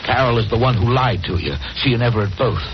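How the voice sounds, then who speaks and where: A man's voice: mid-range voice, quick pace, slight background noise, close to an everyday voice. An actor playing an urgent, hard-headed, impatient man giving orders in a 1940s radio horror drama. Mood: urgent, insistent. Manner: insistent, commanding, exasperated. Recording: muffled vintage radio recording with music.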